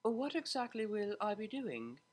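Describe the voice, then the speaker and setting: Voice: medium pitch, very animated, measured pace, pitched above the performer's own voice. A narrator voicing a refined young cellist mare who speaks formally and with reserve. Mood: reserved. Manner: formal, reserved, precise wording.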